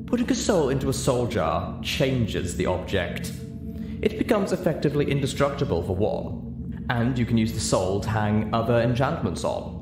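A man voicing an elegant, ladylike unicorn mare with a refined, gossipy, measured delivery in a story reading. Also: medium pitch, very animated, reverberant, over music, natural voice. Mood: explaining.

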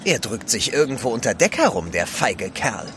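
Casting: grumbling seafarer, medium pitch, radio play with faint outdoor noise. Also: male voice, sing-song, normal speed, a bit bright. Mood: contemptuous. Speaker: actor in an audio drama. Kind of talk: German radio play acting.

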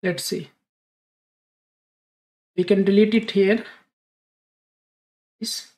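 A woman narrating a screen-recorded software tutorial, thinking aloud as she clicks through each step, with slightly non-standard English phrasing. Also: mid-range voice, steady tone, extremely slow. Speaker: tutorial presenter.

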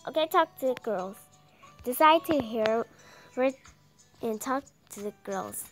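High-pitched, slow young woman reading haltingly. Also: animated. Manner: halting, stumbles over words. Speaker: gameplay video creator reading aloud. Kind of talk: reading visual novel text aloud. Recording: music behind the voice.

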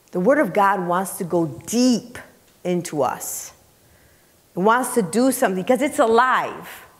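A middle-aged woman delivers a sermon in a church, her medium-pitched voice ringing with the reverb of the hall. She talks conversationally yet with emphasis, putting questions to her listeners and repeating key phrases. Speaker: preacher. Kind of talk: sermon, teaching scripture to a congregation. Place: church sanctuary, indoors, on the platform. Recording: reverberant hall, picked up in large room.

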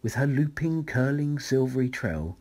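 A man reading a rhyming children's picture book aloud as a bedtime story, in a measured, dramatic way. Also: mid-range voice, animated, darkish tone.

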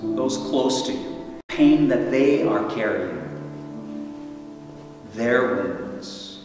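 A priest in his fifties speaks slowly and thoughtfully, pausing often as he leads his listeners inward. His voice sits some way from the mic and rings through a large church with long reverb. Soft music lies faintly behind him. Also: medium pitch, lively intonation. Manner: slow, reflective and guiding, with long pauses. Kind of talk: Good Friday homily. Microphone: stand mic some way off, filmed by a far camera. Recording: roomy church reverb, voice from a distance.

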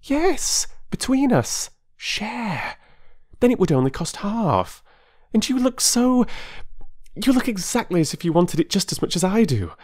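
A reader voicing an impetuous, excitable middle-aged woman who pleads in an eager rush. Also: medium pitch, a little slow, voice pushed up. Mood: eager pleading. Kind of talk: audiobook character voice.

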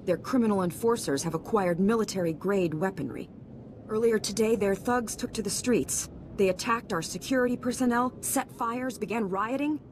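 A woman acts as a commanding game senator. She speaks in formal, authoritative phrases at an average pitch. A noticeable rumble sits behind her. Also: normal speed, close to an everyday voice. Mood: stern urgency. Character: stern, commanding female senator, pressed for time. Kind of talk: video game cutscene acting. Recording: noticeable rumbling background noise.

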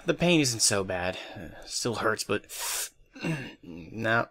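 A man playing a hurt, rueful, hot-tempered young man in an audio drama, halting and emotional. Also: fairly low pitch, big pitch swings, creaky, fairly bright tone, slightly rough, heavily acted. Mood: apologetic, pained.

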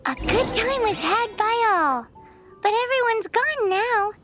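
A tiny childlike fairy sidekick from a video game. The voice is squeaky and extremely high, with excitable, cheeky swings in pitch. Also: female voice, a little slow, loud music behind the voice. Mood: chirpy. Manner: squeaky, speaks of self in third person. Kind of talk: video game character acting.

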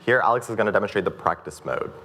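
A young man from Kyrgyzstan runs a product demo on a stage. His voice is low and he talks quickly, briskly explaining each step to the room. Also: bright, forceful tone, a little hiss or hum. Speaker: researcher presenting a demo. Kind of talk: scripted demo presentation. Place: stage in a mid-sized event room.

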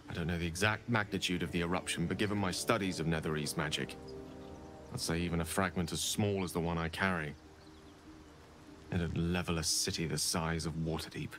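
Casting: a wry, melancholy wizard, low and articulate, soft music behind. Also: male voice, steady tone, fairly quick. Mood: grave. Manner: theatrical, articulate, self-deprecating. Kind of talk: video game dialogue. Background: soft game music.

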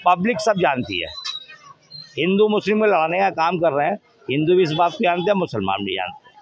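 A middle-aged man giving his opinion emphatically in a street interview, often asking rhetorical questions. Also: high voice. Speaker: local resident interviewed on the street. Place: outdoors in a busy market street. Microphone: handheld reporter's microphone. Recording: dull, lo-fi street recording.

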